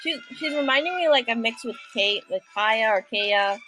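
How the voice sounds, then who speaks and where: A woman's voice: fairly high pitch, animated, moderate pace, pushed and bright, soft background music, rough recording. A young woman hosting a casual reaction video, talking in a hesitant, rambling way.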